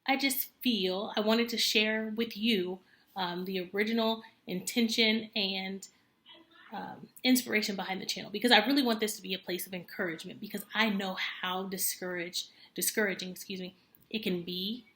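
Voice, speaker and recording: A woman in her early thirties speaks in a bright, clear voice. She is earnest and chatty, full of fillers and self-corrections. The room is echoey. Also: mid-range voice, fairly even intonation, moderate pace. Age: 30s. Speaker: homemaker vlogger. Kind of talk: casual talk to camera.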